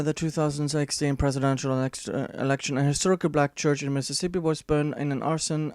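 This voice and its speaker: Halting man reading an article aloud. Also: fairly high pitch, darkish tone, smooth, no room sound. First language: not English. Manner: halting, many "uh" fillers and restarts; dry, sarcastic asides. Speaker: commentator.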